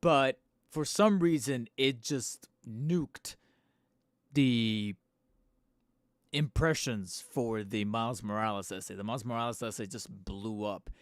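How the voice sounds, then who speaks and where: A man hosting a live stream from home on a studio microphone, a little hoarse and tired, rambling casually with fillers and restarts and poking fun at himself. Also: medium pitch, dry recording. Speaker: channel host streaming live. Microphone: studio microphone close up.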